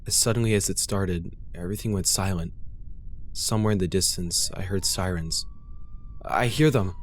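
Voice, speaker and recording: A man's voice: low voice, moderate pace, slight background noise. A man reading a first-person horror story as a dramatic scripted narration, grave and intense.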